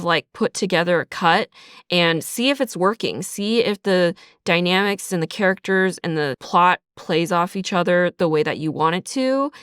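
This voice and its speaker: A woman talks casually and explains things as a guest writer in an interview. Her voice sits on the low side and is clear and smooth. The sound is dry, with no room around it. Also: no room sound. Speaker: audio drama writer and creator, interview guest.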